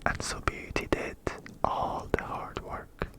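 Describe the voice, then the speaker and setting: A person whispering a bedtime story in ASMR style, with a breathy, unvoiced voice and a deliberate manner that softly repeats phrases.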